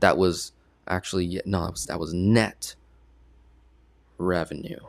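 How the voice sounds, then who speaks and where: A man's voice: low-pitched, fairly even intonation, unhurried, gravelly, no echo. A man giving a casual online presentation as a personal finance coach, talking conversationally and often checking in with "right?". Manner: conversational, keeps asking "right?".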